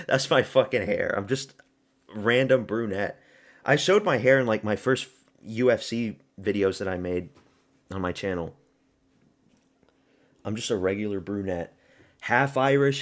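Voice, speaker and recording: Southern-raised man, low-ish voice, casual profane stream host chatting with chat. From American South. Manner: loose and profane, chatting with viewers. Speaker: streamer hosting a watch party. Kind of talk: casual live-stream commentary.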